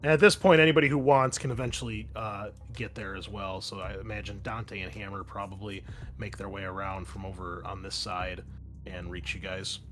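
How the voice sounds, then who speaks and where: A man's voice: on the low side, fairly even intonation, brisk. A man narrating a tabletop roleplaying game as game master, conversational and explanatory. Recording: music playing behind the voice. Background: background music.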